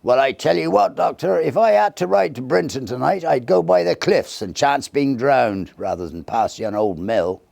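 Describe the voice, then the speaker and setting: Man voicing a raised-pitch, superstitious young country groom. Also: expressive, normal speed, creaky, few pauses, darkish tone, no room sound. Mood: emphatic warning. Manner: emphatic, warning.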